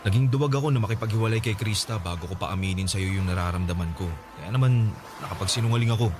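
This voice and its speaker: An actor plays an evasive, lovelorn young man in a radio drama. His fairly low voice pleads earnestly, with hesitation and remorse. Music is clearly audible underneath. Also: quick pace, close to an everyday voice. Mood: earnest confession.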